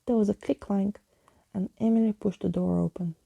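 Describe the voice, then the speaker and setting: A woman reads a story in a gentle ASMR manner. Her voice is very dark and mellow, breathy and husky, with heavy creak throughout. She often drops toward a whisper while staying expressive. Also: medium pitch, moderate pace, muffled recording. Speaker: ASMR storyteller reading a book aloud. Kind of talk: soft ASMR storybook reading.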